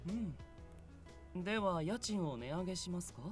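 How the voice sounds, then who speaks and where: A man's voice: sits high, lively intonation, quick pace. An actor voicing a polite, blunt, matter-of-fact male being from heaven in a visual novel game. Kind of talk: Japanese visual novel voice acting. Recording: game music behind.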